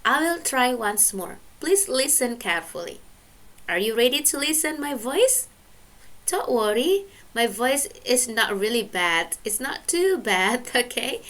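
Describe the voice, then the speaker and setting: A woman teaching an English lesson to junior high students, speaking slowly and carefully. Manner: slow, careful teacher's talk with lively pitch.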